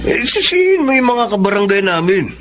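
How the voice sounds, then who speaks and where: An actor playing a lazy, cheeky thirty-year-old troublemaker in a radio drama, flippant and full of whining excuses. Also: male voice, high-pitched, very animated, brisk, a bit bright, a little hiss or hum. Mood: cheeky, complaining. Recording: music bed under the voice.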